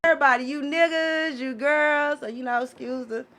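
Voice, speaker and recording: A woman's voice: high-pitched, moderate pace. A woman in her forties talking casually and emphatically on a podcast, outspoken and full of slang and swearing. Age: 40s. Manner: outspoken, animated, emphatic, often addressing listeners directly. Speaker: podcast guest, interviewer in clubs.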